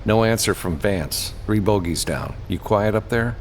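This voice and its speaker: A man voicing a gruff, terse freelance gunman in an audiobook, commanding and matter-of-fact. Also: lowish voice, expressive, a little slow, in a large hall, a little hiss or hum, pitched above the performer's own voice. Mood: terse, alert. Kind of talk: audiobook character dialogue.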